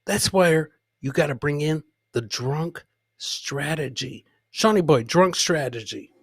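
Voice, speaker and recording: A man's voice: a little high, expressive, slow, slightly rough, no room sound, studio quality. A man hosting a casual live comedy show, commenting on clips, repeating his phrases and talking to his producer and viewers. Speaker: podcast host.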